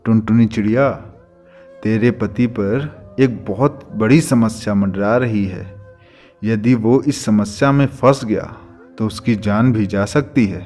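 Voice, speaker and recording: Man as low-voiced solemn holy man. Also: takes it slowly, darkish tone, soft background music. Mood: grave. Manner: grave, reassuring. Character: solemn, kindly male forest holy man with magic. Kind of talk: Hindi cartoon story dubbing.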